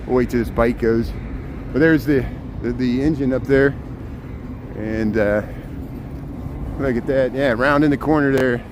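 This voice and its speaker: A man with a loud, carrying voice, chatting his way along city streets. He points things out as he walks, relaxed and unscripted, at a mid pitch. Traffic noise sits clearly under his voice. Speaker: live streamer; urban planner. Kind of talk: walking tour live stream.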